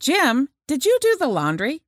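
Woman reading scripted lines, lively pitch. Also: unhurried, no room sound, studio quality. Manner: clear, evenly paced scripted reading. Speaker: listening test voice actor.

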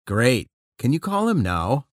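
Man reading scripted dialogue, lively pitch. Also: a little slow, dry recording, studio quality. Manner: plain conversational acting. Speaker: listening test voice actor.

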